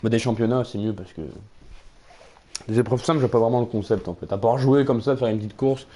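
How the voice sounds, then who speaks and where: A man's voice: medium pitch, fairly even intonation, brisk, dark tone. A man with a slight Belgian French accent, a streamer giving rambling, casual live commentary on his racing game and swearing often. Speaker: gaming streamer. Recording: game engine noise in background.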